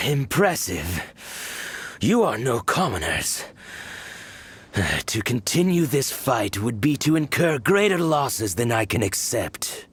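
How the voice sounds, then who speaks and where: Cold snooty game commander, husky, slow talking, big pitch swings, formal and condescending. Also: male voice, very animated, fairly bright tone, full character voice. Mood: grudging respect. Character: cold, haughty commanding officer, disdainful and calculating. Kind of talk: video game character acting.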